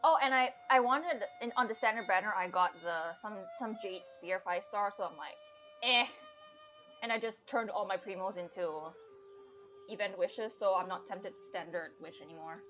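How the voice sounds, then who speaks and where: A woman's voice: medium pitch, moderate pace, a bit bright, highs cut off. A woman giving casual live game commentary as a streamer, chattily reacting aloud to the game and her chat. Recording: game music behind the voice. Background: game music.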